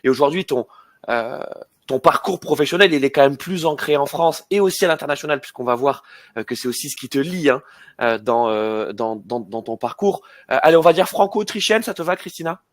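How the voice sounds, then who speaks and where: A man's voice: on the high side, animated, a little fast, no room sound. Hesitant male webinar host, dry sound. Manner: hesitant, many fillers and repeated words. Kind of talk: webinar hosting. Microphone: video call.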